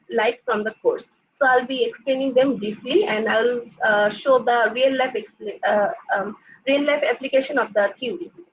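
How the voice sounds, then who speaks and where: A young woman presents her graduate coursework at a seminar. She explains patiently, leaning on "like" and "uh" as she goes. Her voice is husky and somewhat high, and the recording sounds dull and lo-fi. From Bangladesh. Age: young adult. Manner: explanatory, frequent "like" and "uh" fillers.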